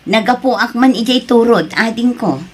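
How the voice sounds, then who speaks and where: A woman's voice: fairly high pitch, normal speed, slightly rough. A woman playing an upbeat, encouraging content creator in an Ilocano radio drama, with an Ilocano Filipino accent.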